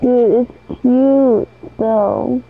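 A woman's voice: fairly high pitch, drawn-out words, gravelly, narrow, tinny audio. A woman chatting casually on a group call. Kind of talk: casual chat.

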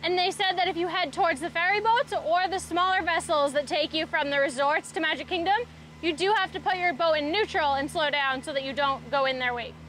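A woman's voice: very high voice, fairly quick, a bit bright, a little hiss or hum. A woman hosting a casual, chatty travel vlog outdoors, with an upbeat, playful manner. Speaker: vlog host. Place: outdoors, mostly on a boat on a lake.

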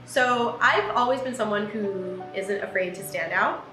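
A woman's voice: mid-range voice, measured pace. Chatty young woman student, roomy sound. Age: around 20. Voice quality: loud voice. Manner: chatty with frequent ums and restarts. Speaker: engineering student vlogger. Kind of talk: reflective talk to camera. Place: room with large window, indoors. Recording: roomy with background music.

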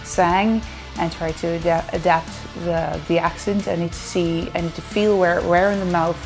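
Dutch lady on a couch answering fan questions, kinda low voice, chatty and jokey, a bit of music behind. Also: steady tone, normal speed. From Netherlands. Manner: chatty, playful, laughs often. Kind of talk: casual chat to camera. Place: indoor living room, seated on a sofa.

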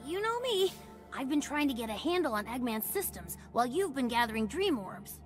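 A woman's voice: high-pitched, sing-song, a little fast, soft background music. A woman voicing an earnest little boy fox inventor in a video game, eager and brainy with an American accent. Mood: earnest, focused. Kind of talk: video game cutscene acting.

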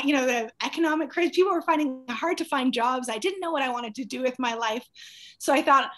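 Canadian woman in her 30s on a zoom call, high voice, chatty and giggly. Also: a bit bright. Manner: bubbly, laughs often, chatty with fillers. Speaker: guest presenter. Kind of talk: casual video call chat. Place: indoor room at home. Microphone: video call on laptop.

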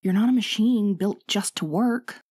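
A woman acts out a sassy, loving wife in an intimate audio roleplay. Her voice sits at a medium pitch, recorded very dry, as she nags and coaxes like someone who cares. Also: moderate pace, darkish tone, slightly rough, dry recording, lightly acted. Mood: worried, caring. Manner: scolding, teasing, coaxing, conversational. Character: bossy, sassy but loving worried young wife.

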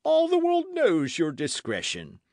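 A man voicing a boastful, loud musketeer in an audiobook, theatrical and full of exclamations. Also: high voice, wildly swooping pitch, a little slow, no echo, voice pushed up, full character voice. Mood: indignant, scolding. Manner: theatrical, exclamatory, swooping emphasis. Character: boisterous, boastful swaggering musketeer, loud and self-satisfied.

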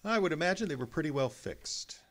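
An actor playing a tough, cynical private detective in a radio drama, with a terse, slangy, wry way of talking. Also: male voice, a little high, big pitch swings, a little fast, a bit bright. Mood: dry, guarded.

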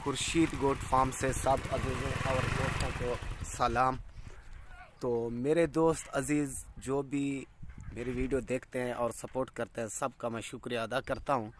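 A man's voice: mid-range voice, little pitch movement, normal speed, background noise. Goat farm owner, 30s, salesman's patter, phone camera recording outdoors. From Pakistan. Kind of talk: casual vlog narration. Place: outdoors on a farm, village road.

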